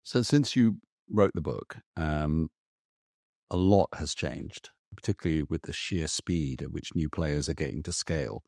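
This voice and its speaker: Man, podcast co-host, very deep voice, dry close sound, measured questioning. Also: vocal fry, a little mellow, no room sound. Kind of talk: podcast interview.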